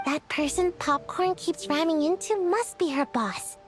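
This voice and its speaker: A woman voicing a chatty, excitable childlike companion in a video game, with a perky, casual delivery. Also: sits high, a little slow. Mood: curious, chatty. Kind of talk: cartoonish video game voice acting. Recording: music playing behind the voice.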